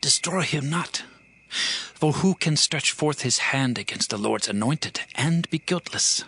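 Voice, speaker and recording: An actor playing an earnest, devout ancient warrior leader in a dramatized Bible, speaking in a solemn, declamatory way. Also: male voice, a little high, lively intonation, unhurried, gravelly, sharp tone, husky, heavily acted. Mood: solemn, gracious. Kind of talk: dramatized Bible reading, archaic English.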